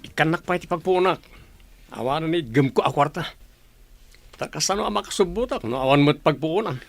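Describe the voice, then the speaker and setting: A man playing a gruff, grumbling husband short of money in an Ilocano radio drama, with an Ilocano accent. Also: a little high, lively intonation, brisk.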